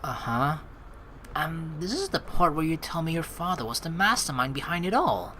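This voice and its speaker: A young man hosting a game playthrough, reading dialogue aloud and adding casual, slangy, expressive commentary. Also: a little high, moderate pace, slight background noise. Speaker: let's play host. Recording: game music behind the voice.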